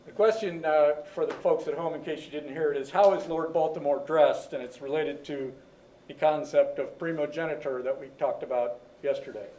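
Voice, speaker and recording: A man's voice: a little high, normal speed, mellow, a little hiss or hum. A male teacher explaining a history lesson and asking his class questions. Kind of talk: classroom lecture. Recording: echoey classroom, far from the mic.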